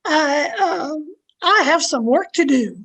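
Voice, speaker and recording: A timid, soft-hearted housemaid played by a woman in a radio comedy. Her extremely high voice swoops about slowly, fluttery and hesitant. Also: expressive, heavily acted. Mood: timid, sympathetic. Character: timid, sympathetic female housemaid. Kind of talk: old-time radio comedy acting.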